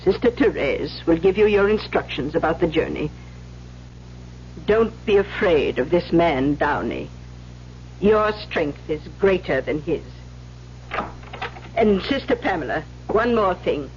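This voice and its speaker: An actress playing a stern, weary older Mother Superior, measured and authoritative, in an old-time radio drama. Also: fairly low pitch, expressive, a little mellow. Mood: firm, commanding. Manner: measured, authoritative, cuts others short. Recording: muffled, hissy old radio recording.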